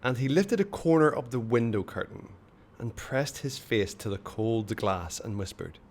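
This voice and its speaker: A man narrating a story as an expressive read-aloud, with measured, dramatic phrasing and pauses. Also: medium pitch. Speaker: storyteller reading a children's book aloud.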